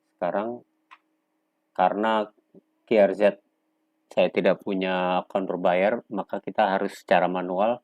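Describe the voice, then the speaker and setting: Guy walking thru a software demo step by step, low voice, pauses a lot between short bits, dry sound. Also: no echo, muffled recording. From Indonesia. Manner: instructional, halting, pausing between short phrases. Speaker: software tutorial presenter. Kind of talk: unscripted step-by-step screen demonstration.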